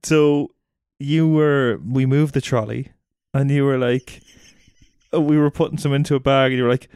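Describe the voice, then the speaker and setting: A man's voice: mid-range voice, fairly even intonation, normal speed, darkish tone, clean tone, no room sound. A man with an Irish accent co-hosting a podcast, chatting casually over drinks with dry, sweary teasing. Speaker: podcast co-host.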